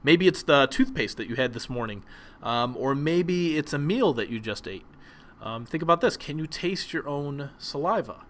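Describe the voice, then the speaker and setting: A young man whose first language is Polish, giving a relaxed presentation lesson with frequent ums and restarts. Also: fairly high pitch, moderate pace. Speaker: teacher.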